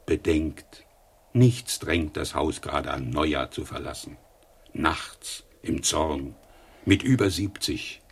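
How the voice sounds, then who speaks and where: An actor in a radio drama playing a humble, devoted male servant who pleads deferentially in a husky voice. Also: extremely low pitch, animated, slow pace, full character voice. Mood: pleading. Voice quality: husky, gravelly.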